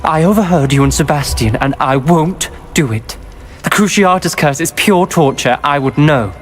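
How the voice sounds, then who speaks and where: A man's voice: fairly high pitch, moderate pace, soft background music, close to an everyday voice. An actor playing a grim, guilt-ridden young male wizard student in a video game, speaking. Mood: bitter. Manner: somber, weary and bitter. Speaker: game character.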